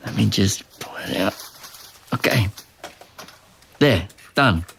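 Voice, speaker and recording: A man's voice: on the low side, expressive, slow pace, gravelly, a bit bright, a little hiss or hum, exaggerated voice. An actor playing a harried, dutiful young man in a family restaurant drama, speaking casually with exasperation and apology. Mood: helpful.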